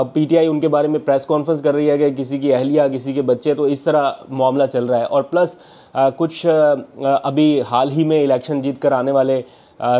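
A man's voice: medium pitch, warm tone. A young man, a political journalist vlogging from his living room on a lapel mic, talking earnestly with many "uh" fillers. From Pakistan. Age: young adult. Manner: earnest analytical talk with frequent uh fillers and hand gestures. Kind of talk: political news vlog. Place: home living room, indoors.

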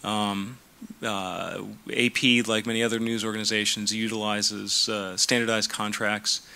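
Bright-voiced hesitant male lawyer. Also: lowish voice, level tone, measured pace, creaky, smooth. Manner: hesitant, many fillers and restarts. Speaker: lawyer and panelist. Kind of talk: panel discussion answers. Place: conference room. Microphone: handheld mic.

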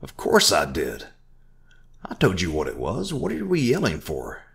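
A stubborn, blustering older shopkeeper, voiced by a man in a told story. He haggles and shouts back from a very deep base voice. He is cagey and never admits fault. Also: big pitch swings, unhurried, gravelly, husky, heavily acted. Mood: indignant.

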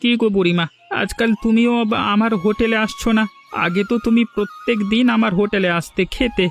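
High-voiced, humble villager. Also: male voice, fairly even intonation, fairly quick, faint music behind. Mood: plaintive. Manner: plaintive, earnest. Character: humble, struggling village eatery owner, devoted father. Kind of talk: Bengali village cartoon dialogue.

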